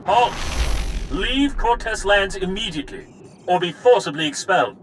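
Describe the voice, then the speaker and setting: An actor playing a stern estate guard in a video game, blunt and commanding. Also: male voice, medium pitch, lively intonation, normal speed, fairly bright tone, slight background noise, natural voice. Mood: shouted warning. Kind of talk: scripted game dialogue.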